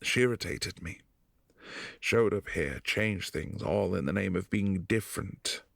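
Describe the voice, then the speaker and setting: A man playing a gravelly, deep vampire prince. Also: dry recording, studio quality, heavily acted. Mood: wistful. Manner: soft-spoken, intimate, halting. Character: brooding, lonely male vampire prince, centuries old. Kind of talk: ASMR boyfriend roleplay.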